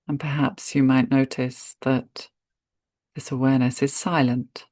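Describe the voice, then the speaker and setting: Female meditation guide, very slow gentle delivery with spacious pauses, dry recording. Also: rumbling low, flat delivery, no room sound. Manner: unhurried, gentle, spacious pauses between short phrases.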